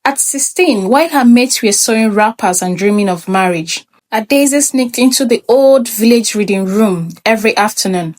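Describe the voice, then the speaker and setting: Expressive woman storyteller with measured delivery. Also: a little high. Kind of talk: scripted folktale narration.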